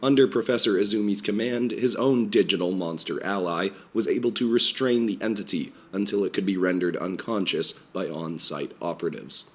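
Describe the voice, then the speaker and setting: A man voicing a stiff, formal male research lecturer, speaking in a measured way with a voice strained by a cold. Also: low-pitched, close to an everyday voice.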